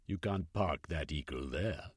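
A man voicing a polite, unflappable male street officer with a deadpan manner. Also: extremely low pitch, measured pace, breathy edge, no room sound, studio quality, exaggerated voice. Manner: polite deadpan, repeated "sir".

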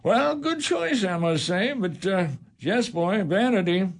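An actor playing a stern, scornful old farmer who scolds and blusters in a community radio drama. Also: male voice, sits high, lively intonation, a little slow, vocal fry, heavily acted.

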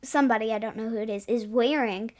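A woman's voice: a little high, fast talker, a little mellow. A woman co-hosting a podcast, chatting casually, questioning and interjecting as she reacts. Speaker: podcast co-host. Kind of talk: casual chat, reacting to comics.

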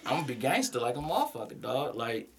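A man's voice: medium pitch, very animated, fast, very noisy. A man hosting a casual podcast, tipsy and rambling in loose banter with friends, laughing often. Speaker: podcast host.

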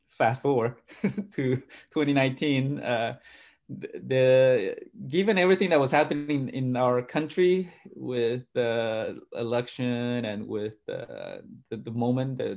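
A man in his forties from Argentina answers interview questions in a middle-pitched voice. He talks haltingly, restarting sentences and repeating small words as he thinks. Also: fairly even intonation, vocal fry, darkish tone. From grew up in Argentina. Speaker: guest, pastor and sociologist.

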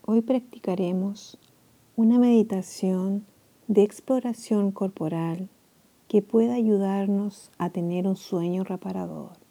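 A woman guiding a sleep meditation in a soft, soothing way, with long pauses between phrases. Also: medium pitch, fairly even intonation. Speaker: meditation guide.

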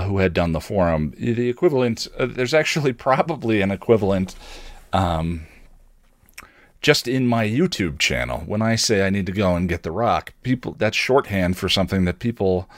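A man hosting a solo podcast, talking casually and reflectively in a halting, self-questioning way. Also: deep voice, no room sound, clean studio sound. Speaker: podcast host and video creator.

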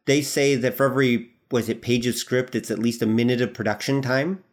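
A man's voice: mid-range voice, fairly even intonation, a little fast. A man who produces audio dramas, a guest on a podcast, explaining things fluently in casual conversation.

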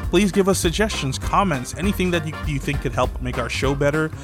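A man's voice: fairly high pitch, fairly quick, music in the background. A man hosting a podcast in casual chat, enthusiastic and often repeating himself. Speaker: podcast host.

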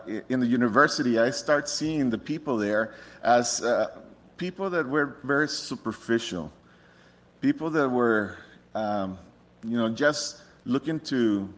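Middle-aged man, a missionary giving a relaxed, joking testimony with frequent restarts. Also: mid-range voice. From Costa Rica. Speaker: missionary speaker. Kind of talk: personal testimony to a congregation.

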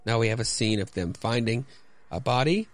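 A male podcast host with an animated, mid-pitched voice in a dry recording. He rambles casually and haltingly through his commentary, poking fun at himself. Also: no echo. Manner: rambling, self-deprecating, halting. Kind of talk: casual comic commentary and narration.

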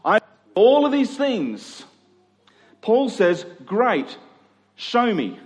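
A man's voice: sits high, huge pitch swings, drawn-out words, faint music behind. A middle-aged man preaching in a conversational expository style, using rhetorical questions and emphatic repetition. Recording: long hall reverb.

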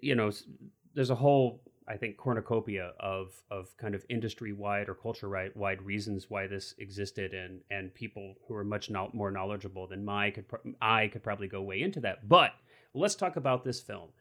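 A man's voice: lowish voice, normal speed, fairly bright tone. A man in his forties hosting a casual film discussion, talking enthusiastically and rambling through restarts. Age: 40s.

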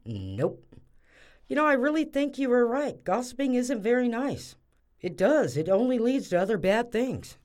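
A woman's voice: on the high side, big pitch swings, normal speed, a little mellow. A woman playing a sassy, no-nonsense small-town snack seller in a comic audio drama, blunt and teasing with a Southern US accent. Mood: smug, teasing.

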